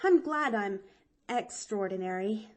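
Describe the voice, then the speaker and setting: A woman's voice: mid-range voice, lively intonation, unhurried, close to an everyday voice. A woman reading an audiobook, voicing a cheerful, talkative little girl with an eager, folksy way of speaking. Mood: earnest. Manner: eager, chattering, folksy drawl.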